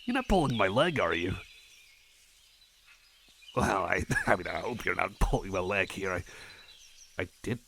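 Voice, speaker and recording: A man's voice: low-pitched, big pitch swings, vocal fry, sharp tone, a little hiss or hum, exaggerated voice. A man playing a shy, friendly cyborg chum in an ASMR roleplay, stammering, repeating himself and putting himself down. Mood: friendly, rambling. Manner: stammering, halting, repeats phrases, self-effacing. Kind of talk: ASMR roleplay, personal attention.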